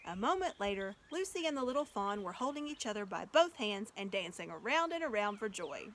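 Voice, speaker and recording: A woman reading a children's story aloud as an expressive storyteller. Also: medium pitch, a little fast.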